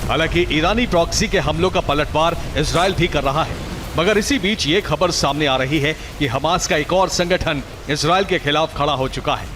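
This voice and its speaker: High-voiced man narrating dramatic news. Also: moderate pace, noisy background. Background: prominent music.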